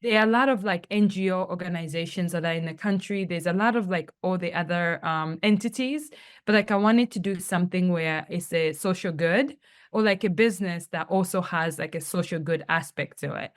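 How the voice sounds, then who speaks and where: A young woman from Tanzania with a slightly low voice tells her story as a podcast guest. She talks casually, with many "like"s, and acts out little quotes from friends along the way. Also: brisk. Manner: chatty storytelling, many fillers and acted-out quotes. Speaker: guest, travel company founder.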